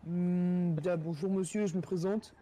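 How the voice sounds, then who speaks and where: A man improvising as a police officer in an online role-play game, speaking in a halting, formal way. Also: high-pitched, steady tone, crowd noise.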